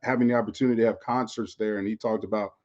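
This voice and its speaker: A man's voice: fairly low pitch, steady tone, very fast, a little mellow. Slightly muffled American man talking sports. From Florida, United States. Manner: conversational, repeats phrases, often says "you know". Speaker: former pro football player, podcast co-host. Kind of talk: casual sports podcast chat.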